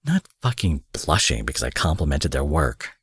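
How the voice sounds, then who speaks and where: A man acting out a cocky, foul-mouthed young supervillain in an audio roleplay, husky, mocking and possessive, taunting his captive with drawled pet names. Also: rumbling low, very animated, normal speed, no room sound, heavily acted. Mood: boastful, teasing. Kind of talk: dramatic roleplay monologue addressed to the listener.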